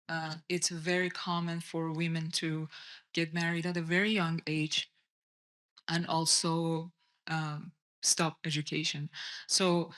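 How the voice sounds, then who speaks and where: Woman from Iran, panel speaker; smooth, even, measured delivery with frequent hesitations. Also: low voice. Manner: soft-spoken, hesitant, many ums. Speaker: documentary director on a festival panel. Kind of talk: panel talk. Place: festival panel lounge.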